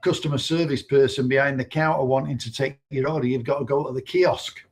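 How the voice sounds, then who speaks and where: A man's voice: mid-range voice, steady tone, fairly quick, a little mellow. Mancunian man co-hosting a live stream. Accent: Mancunian English. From Manchester, England. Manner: conversational, rambling, uses fillers. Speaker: co-host. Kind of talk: casual live-stream talk.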